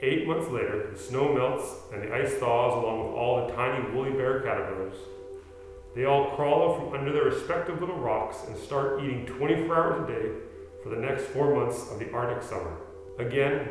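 A man's voice: mid-range voice, natural voice. Echoey, earnest middle-aged storytelling man, acted by a man. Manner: deliberate, formal storytelling delivery. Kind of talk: stage radio play acting. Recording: very echoey hall, music behind.

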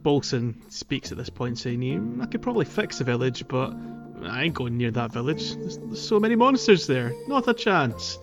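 A man's voice: medium pitch, big pitch swings, normal speed, gravelly, hardly any pauses, music in the background. A man hosting a podcast, chatting casually and thinking aloud as he goes. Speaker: podcast host. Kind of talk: casual gaming podcast chat.

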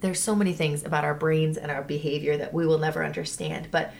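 Low-voiced reflective woman co-host. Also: level tone, quick pace, vocal fry, darkish tone, a little hiss or hum. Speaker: podcast co-host. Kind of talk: casual conversational chat.

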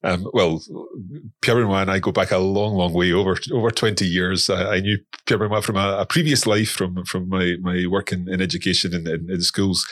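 A man's voice: low voice, fairly even intonation, brisk, fairly bright tone, dry recording. A man, a guest on a podcast, talking casually and often repeating words as he searches for them. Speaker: podcast guest, language course creator. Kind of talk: casual interview talk.

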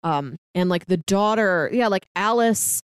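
A woman co-hosting a film discussion podcast, talking casually and reflectively with halting phrasing. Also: medium pitch, expressive, clean tone, dry recording, clean studio sound. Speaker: podcast co-host reviewing films.

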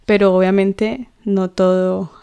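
A Colombian woman in her thirties, co-hosting a casual chat and talking thoughtfully with frequent fillers and soft laughs. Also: mid-range voice, flat delivery, takes it slowly, gravelly, clear, resonant tone. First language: Spanish. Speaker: co-host.

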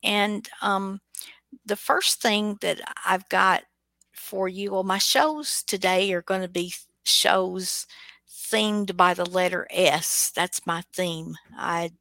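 Female radio host, casual chatter, forceful creaky voice, dry close sound. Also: medium pitch, fairly even intonation, slow, no echo. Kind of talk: casual chat.

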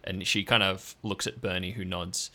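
Male game master for improvised story: low register, sharp tone, pausing as he narrates. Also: steady tone.

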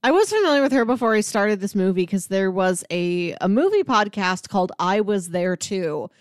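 A woman's voice: medium pitch, animated, moderate pace, dry recording, clean studio sound. A woman chatting casually and at length as a podcast co-host about films, peppering her talk with "like". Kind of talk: casual film discussion chat.

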